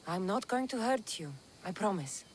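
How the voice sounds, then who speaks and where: A woman's voice: medium pitch, expressive, moderate pace, slight background noise, close to an everyday voice. A woman voicing a confident, dry-witted mercenary hero. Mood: wry.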